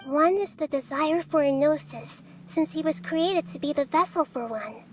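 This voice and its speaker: Casting: wise girlish deity for fantasy game, high soft voice, composed and inquisitive, music underneath. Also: lively intonation. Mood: pensive. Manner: measured, analytical, articulate. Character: calm, wise, inquisitive young girl-like deity. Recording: music behind the voice.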